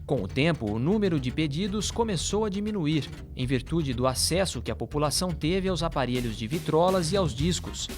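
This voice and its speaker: A man's voice: on the high side, animated, a little fast, hardly any pauses. A man with a Brazilian accent narrating a documentary from a script. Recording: music bed behind voice. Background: music.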